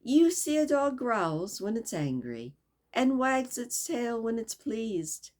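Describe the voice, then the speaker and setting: A woman playing a sly, grinning storybook cat who purrs out riddles in a soft, unhurried drawl. The voice stays hushed and teasing, with a lilt that rises and dips as it toys with the listener. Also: on the high side, very animated, voice pushed up. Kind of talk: storybook read-aloud.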